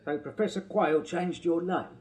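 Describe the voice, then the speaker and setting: A man playing a gruff, deadpan Victorian constable. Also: fairly high pitch, normal speed, reverberant, close to an everyday voice. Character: blunt male Victorian police constable, plain-spoken. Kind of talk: 1980s radio drama acting.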